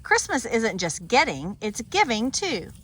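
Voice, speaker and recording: A friendly, helpful crow from a picture book, read aloud by a woman. Her voice is bright and lively with a slightly high pitch. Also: a little slow, a little hiss or hum. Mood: kindly.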